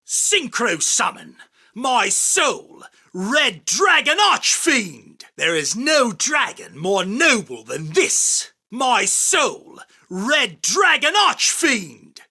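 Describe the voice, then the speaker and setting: A man voicing an arrogant, theatrical, self-proclaimed king of card duels in an anime game, with a husky, forceful, declamatory delivery. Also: extremely high pitch, exaggerated voice. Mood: grand, commanding. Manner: pompous, boastful, declamatory. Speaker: voice actor. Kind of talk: anime game character battle lines.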